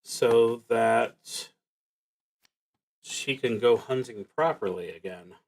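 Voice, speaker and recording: A man playing a solo tabletop roleplaying game on a stream, reading prompts aloud and thinking out loud in a halting, musing way. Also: medium pitch, vocal fry, rough recording.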